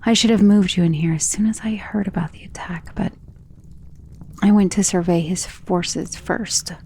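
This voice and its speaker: A woman playing a powerful, self-assured female vampire, protective and possessive of a lover, in an intimate ASMR romance roleplay that often drops toward a breathy whisper. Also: on the low side, steady tone, normal speed, mellow, slight background noise, close to an everyday voice. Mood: calm, confiding.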